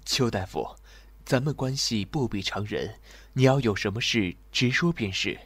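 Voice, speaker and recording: A man's voice: on the low side, expressive, moderate pace, fairly bright tone, slightly rough, no room sound. A man playing a weary, reserved young general in an ancient Chinese audio drama, speaking formally and courteously. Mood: courteous, composed.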